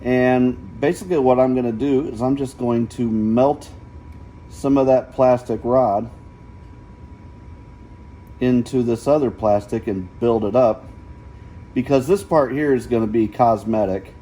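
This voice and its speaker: A man's voice: medium pitch, unhurried, pauses often, warm tone, slight background noise. A middle-aged man giving a casual hands-on tutorial, explaining things step by step and checking in as he goes. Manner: explanatory, often checking with "okay?". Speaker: DIY vlogger.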